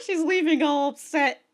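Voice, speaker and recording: A woman giving casual, sweary, giggly reaction commentary as she hosts a reaction video. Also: very high voice. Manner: giggly, often breaking into laughter.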